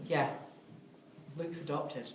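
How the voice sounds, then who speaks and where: A high, very lively young man's voice from a male actor in an amateur audio drama. He is remorseful yet defensive, pleading in exasperation. It is recorded in a roomy space at some distance from the mic. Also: slow pace. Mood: uneasy. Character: defensive, remorseful young man, an elder brother. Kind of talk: amateur radio drama script reading.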